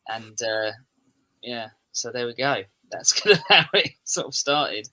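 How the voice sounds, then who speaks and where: English man from the southeast, guest on a chat show, rambling and self-mocking, bright-toned delivery. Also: mid-range voice, animated, a little slow, low-quality recording. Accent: English, southeast England. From England. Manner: rambling, restarts sentences, self-deprecating humour. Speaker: podcast guest, metal musician. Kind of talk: casual podcast chat.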